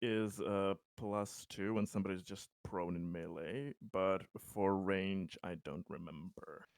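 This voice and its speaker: A man's voice: sits low, fairly even intonation, measured pace, dry recording, clean studio sound. A man talking casually about rules during a tabletop roleplaying game.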